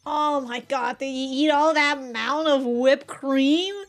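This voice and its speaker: A woman's voice: high-pitched, steady tone, takes it slowly, soft background music. A woman giving casual, slangy reaction commentary as a video host, exclaiming and swearing freely.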